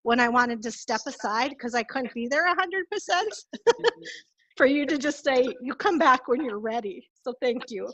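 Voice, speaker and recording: A woman co-hosting a podcast over a remote call, speaking in a soft, reflective way. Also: high voice, lively intonation, moderate pace, low-quality recording. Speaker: podcast co-host. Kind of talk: casual chat. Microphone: remote call, echoing.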